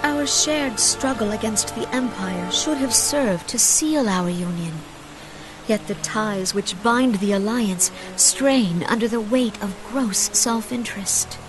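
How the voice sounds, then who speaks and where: A woman's voice: medium pitch, takes it slowly, lightly acted, low-quality recording. A woman voicing a gracious, earnest leader of a forest nation in a fantasy video game, speaking with solemn, archaic formality. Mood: solemn, troubled. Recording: loud music under voice.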